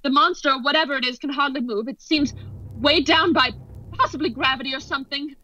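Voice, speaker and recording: A woman playing an excited, increasingly horrified radio news reporter giving dramatic, halting eyewitness commentary in a radio play. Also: high voice. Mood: horrified, awed. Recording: dull lo-fi audio under music.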